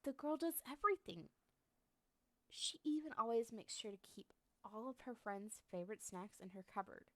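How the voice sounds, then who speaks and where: A woman's voice: a little high, lively intonation, moderate pace, no room sound. A woman playing a lovestruck, obsessive young woman in an ASMR roleplay, talking to the listener in a coy, rambling, hesitant way. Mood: lovesick, exasperated.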